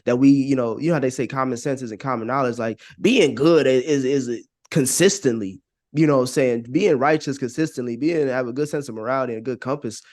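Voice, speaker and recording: Casual American male podcast voice, mid-pitched, loose and rambling, swears freely and circles back on phrases. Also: a little fast, darkish tone. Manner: rambling, repeats phrases, frequent "you know what I'm saying", profane. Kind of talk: casual conversational podcast opinion.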